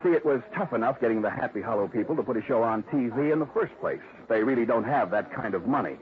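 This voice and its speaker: A man acting as a callous publicity man turned villain in a fifties radio play. He justifies himself defensively in a medium-pitched voice, on a thin, tinny old recording. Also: expressive, quick pace, close to an everyday voice. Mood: defensive, explaining. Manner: defensive, explanatory. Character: callous, self-justifying publicity man confessing his crime. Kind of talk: 1950s radio drama acting.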